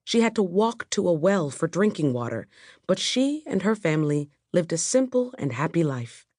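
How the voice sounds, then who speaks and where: Low, dry-sounding woman storybook narrator. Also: very animated, moderate pace, a little mellow, no echo. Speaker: audiobook narrator.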